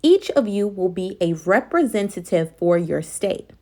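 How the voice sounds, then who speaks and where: Encouraging female primary teacher, played by a woman reader, storybook style, explaining slowly with varied intonation. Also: medium pitch, lively intonation, dark tone. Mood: announcing, explaining. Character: encouraging female schoolteacher addressing her young class.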